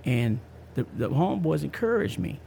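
Gravelly-voiced man in his fifties. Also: a little high, big pitch swings, moderate pace, mellow, a little hiss or hum. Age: 50s. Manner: emphatic, slangy, repeats himself. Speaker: podcast host. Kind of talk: casual personal testimony.

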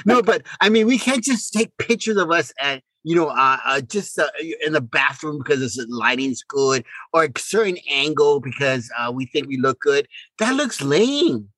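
Male podcast co-host, middle-aged, lively swings in pitch, fairly high voice, stumbles and restarts in casual chat. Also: no room sound, low-quality recording. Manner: halting, repeats words.

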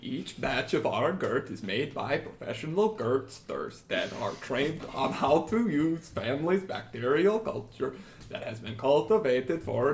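Man as sincere Swedish yogurt craftsman, shaky accent, slow and lively-pitched, formal comedic pitch. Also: slight background noise. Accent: attempted, shaky Swedish. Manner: earnest, formal, deliberate. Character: earnest, sincere Swedish male yogurt maker pleading his case.

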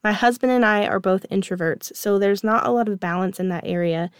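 A woman in her thirties hosting her own podcast, reading a reflective monologue in a gentle, earnest, measured way. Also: mid-range voice, clear, resonant tone, dry recording, studio quality. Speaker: podcast host.

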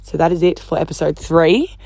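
A woman hosting a casual solo podcast, chatty and upbeat, swearing casually, her voice croaky from talking all day. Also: deep voice, fairly quick, a little mellow, no room sound. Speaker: podcast host.

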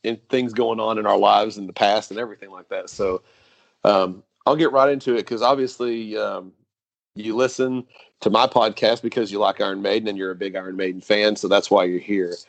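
Low, gravelly podcast host, rambling. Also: male voice, fairly quick, dry recording, highs cut off. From United States. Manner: relaxed, rambling with fillers. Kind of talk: casual interview chat.

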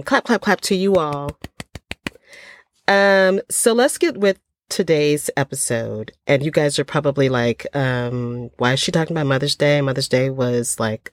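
An older woman with a low, slightly stuffy voice chats casually to her listeners. She talks in a folksy, rambling way with lots of ums. The sound is dry and close. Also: no echo. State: stuffy from a cold. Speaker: podcast host. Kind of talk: casual solo podcast chat.

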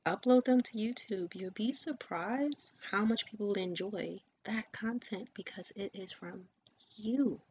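A woman's voice: medium pitch, unhurried, a little mellow, breathy edge. A woman podcast host and coach giving an earnest, encouraging motivational talk, often dropping her voice to a near whisper. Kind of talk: motivational monologue. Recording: dull lo-fi sound with faint ambient noise.

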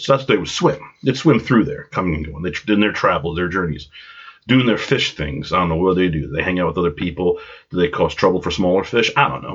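A man's voice: low voice, fairly bright tone, breathy edge. A congested man with a cold hosting a podcast, telling a rambling, self-deprecating story with side tangents. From Long Island, New York. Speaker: podcast host. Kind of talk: casual storytelling monologue. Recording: dull lo-fi home recording.

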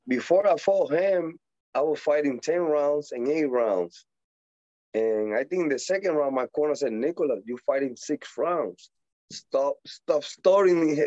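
A man in his forties from Puerto Rico, with a Puerto Rican Spanish accent, speaking as a guest in a casual interview, animated, laughing often, with halting English. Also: fairly high pitch, no room sound, dull audio. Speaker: boxer guest.

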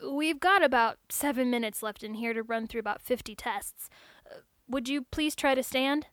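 Female reader doing a geeky young male tech: eager, rambling, a bit awkward, higher and louder than the narration, dry close sound. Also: normal speed, dry recording, lightly acted. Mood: hurried. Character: chatty young male robot technician, geeky and friendly. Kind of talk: audiobook character dialogue.

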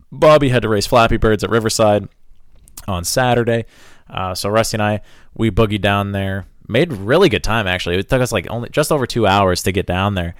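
A man's voice: on the low side, clean tone, dry recording, studio quality. A young man co-hosting a podcast in a laid-back, wry way with frequent fillers. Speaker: podcast co-host. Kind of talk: casual podcast chat.

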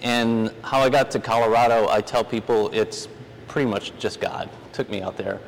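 A middle-aged American man from New Orleans giving an informal, halting, conversational sermon as a Bible teacher. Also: fairly low pitch, vocal fry. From New Orleans, United States. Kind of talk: informal sermon with scripture reading. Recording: reverberant hall, noisy room ambience.

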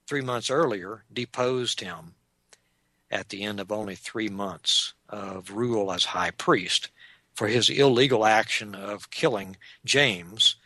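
A man with a Texan accent giving an unscripted lecture on church history, measured and explanatory, often pausing on "uh". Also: on the low side, bright, forceful tone, dry recording. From Texas. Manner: measured and explanatory, with frequent "uh" pauses. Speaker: Bible teacher.

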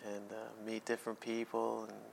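A man from New Jersey in his thirties, answering interview questions in an easygoing, measured way. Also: fairly low pitch, monotone, creaky, slight background noise, rough recording. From New Jersey, USA. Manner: easygoing, measured, some hesitations. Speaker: guest.

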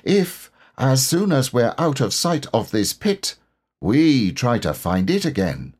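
Man acting a slow, humble bear. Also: mid-range voice, sing-song, a little mellow, breathy edge, voice pushed up, full character voice. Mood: humble, musing. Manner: slow, halting, musing delivery. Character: slow, humble, earnest young-hearted male toy bear.

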